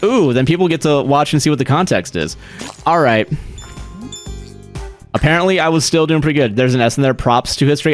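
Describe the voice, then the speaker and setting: A man streaming a game, chatting casually with his viewers, swearing freely and laughing often. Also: medium pitch. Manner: profane, laughs often, chatty asides to chat. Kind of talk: casual live-stream chat while gaming.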